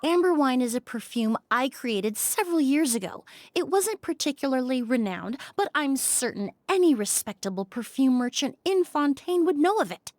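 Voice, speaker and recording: Calm precise perfumer, measured delivery, higher voice, dry studio sound. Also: female voice, dry recording. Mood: deducing. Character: composed female perfumer investigating, calm and precise. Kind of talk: game voice acting.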